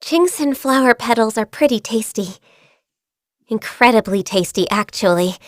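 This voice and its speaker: An actress voicing a gentle, shy and diligent young female secretary in a video game, soft-spoken, earnest and a little hesitant. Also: fairly high pitch, lively intonation, moderate pace, no echo, studio quality, close to an everyday voice. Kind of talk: video game character voice lines. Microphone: close studio mic.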